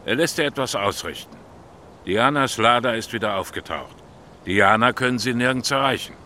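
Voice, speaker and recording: An actor playing a kindly, cautious pastor in a radio drama, considered and direct. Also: male voice, medium pitch, normal speed, pushed and bright, slight background noise, natural voice. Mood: friendly, helpful. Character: kindly, cautious male pastor who offers shelter.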